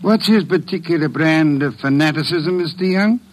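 A man playing an imperious, lazy, middle-aged detective in an old-time radio drama, speaking formally and deliberately. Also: fairly high pitch, a little hiss or hum, lightly acted. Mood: coolly probing. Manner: pompous, formal, deliberate diction.

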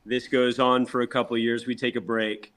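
Guy from a rock band doing an interview, talks himself up, emphatic, medium pitched voice. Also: little pitch movement, brisk, fairly bright tone. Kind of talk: casual interview talk.

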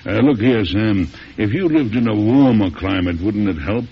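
An actor playing a shrewd, avuncular elderly American president in an old-time radio drama, with a gravelly, husky voice and emphatic delivery. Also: male voice, on the low side, animated, normal speed. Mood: kindly. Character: folksy, shrewd, strong-willed elderly male US president. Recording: dull, muffled vintage radio recording.

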